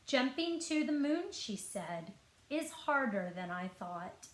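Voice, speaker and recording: Female children's librarian for storytime read-aloud: higher-pitched voice, unhurried, expressive delivery, roomy sound. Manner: expressive storytelling, clear deliberate phrasing, asks listeners questions. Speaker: school librarian. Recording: roomy echo.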